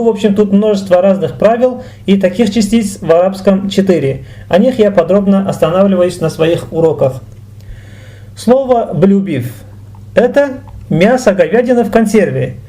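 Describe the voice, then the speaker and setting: A man gives a language lesson in a high but soft-edged, mellow voice. He explains in a didactic way and sets out each example with deliberate care. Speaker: language teacher.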